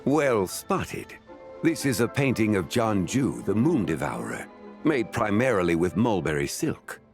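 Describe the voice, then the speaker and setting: A man voicing a dignified, learned antiquities connoisseur in an animated game, formal and composed. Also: fairly low pitch, soft background music. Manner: formal, measured, erudite.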